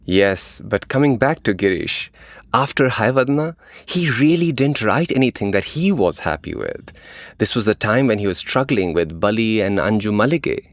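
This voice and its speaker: A man's voice: on the low side, animated, moderate pace, no echo, clean studio sound. A man with an Indian accent co-hosting a podcast in scripted narration. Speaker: podcast co-host.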